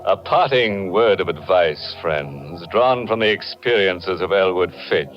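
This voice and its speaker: A sardonic, macabre male host of an old radio horror show. He speaks in a low voice, very slowly and slyly, on a dull vintage recording. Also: lively intonation. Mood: gleefully macabre. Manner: sly, macabre, tongue-in-cheek. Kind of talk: 1940s radio horror hosting. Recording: muffled 1940s radio, organ-era music behind.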